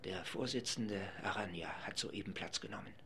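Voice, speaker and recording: A man delivers live radio commentary, his voice sitting a little low. He reports with urgency from a press gallery. A faint hiss runs under the recording. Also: normal speed, creaky, pushed and bright.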